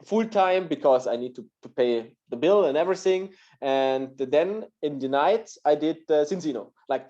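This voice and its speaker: A man's voice: fairly high pitch, warm tone, lo-fi sound. A man from Austria with an Austrian German accent, a native German speaker, telling his story on a video call, hesitant with many fillers. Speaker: guest speaker.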